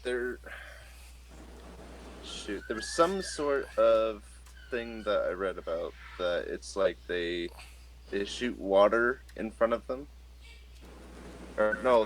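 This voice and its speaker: A man's voice: lowish voice, a little hiss or hum, low-quality recording. A man running a tabletop game, explaining in a rambling way with many restarts. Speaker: game master. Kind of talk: casual tabletop game talk.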